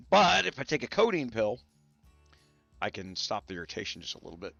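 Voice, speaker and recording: A man with a gravelly, irritated voice, hosting a rambling casual chat about a strategy game and stopping now and then to cough. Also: mid-range voice, wildly swooping pitch, normal speed, a bit bright, no room sound, highs cut off, faint music behind. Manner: rambling, many restarts and fillers. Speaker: gaming channel host. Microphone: studio mics set up to spare his voice.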